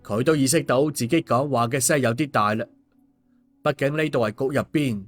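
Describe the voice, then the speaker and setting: A man's voice: medium pitch, fairly quick. A man narrating a scripted story, as an audiobook reader. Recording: music under the voice. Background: background music.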